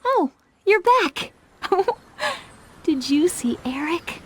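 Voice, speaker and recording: A woman voicing a sweet, dreamy and anxious teenage mermaid princess for an animated game, with gasps and sighs. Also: very high-pitched, extremely slow, exaggerated voice. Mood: delighted.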